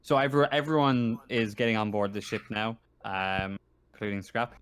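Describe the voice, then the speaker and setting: A man speaking casually as a game master, narrating a tabletop game in a halting, hesitant way. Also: on the low side, fairly even intonation, fairly bright tone, a little hiss or hum.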